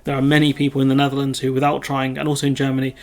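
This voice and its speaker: A man's voice: mid-range voice, completely flat delivery, racing. A British man hosting his own video channel, reading out a news story and adding rambling, self-correcting remarks full of ums. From United Kingdom. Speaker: video host. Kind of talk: casual commentary reading news.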